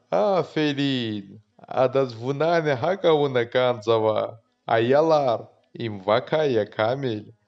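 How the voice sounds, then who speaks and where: A man's voice: medium pitch, darkish tone, highs cut off. A man playing an approving teacher who addresses children in a dubbed cartoon. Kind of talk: animated cartoon dub.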